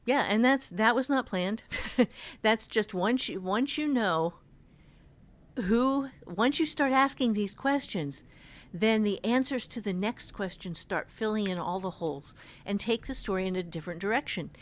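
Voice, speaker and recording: A woman's voice: mid-range voice, unhurried. A middle-aged woman co-hosting a podcast, teaching writing in an informal, explanatory way. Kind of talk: casual instructional discussion.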